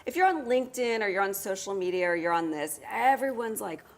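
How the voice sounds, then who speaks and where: Animated woman talk show host. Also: mid-range voice, brisk. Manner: animated, acts out quotes. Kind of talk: casual talk show chat.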